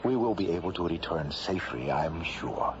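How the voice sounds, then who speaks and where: A man in a radio drama playing an earnest scientist and space traveller, with solemn, measured, formal phrasing. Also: low-pitched, sing-song, noisy background. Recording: dull lo-fi old radio recording. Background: loud music.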